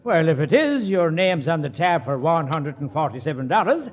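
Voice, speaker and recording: A man's voice: a little high, sing-song, normal speed, a crowd in the background. An actor playing a chummy, obliging male bartender in a 1940s radio comedy, on a dull old broadcast with a studio audience.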